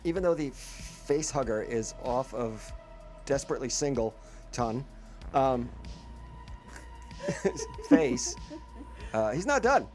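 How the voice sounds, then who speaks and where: A woman playing a tabletop game in casual chat, halting as she searches for words. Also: very deep voice, sing-song, a little mellow, faint music behind.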